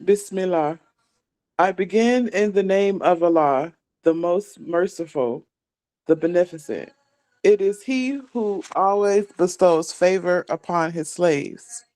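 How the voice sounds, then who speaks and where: A woman's voice: fairly low pitch, slow pace, a little mellow, dry recording, rough recording. Woman reading religious text aloud, dry sound. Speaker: class student reading aloud.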